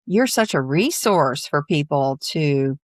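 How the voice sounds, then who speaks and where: A woman's voice: low voice, lively intonation, slow, no room sound, clean studio sound. A woman hosting a podcast interview in casual conversation, encouraging and effusive toward her guest. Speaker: podcast host.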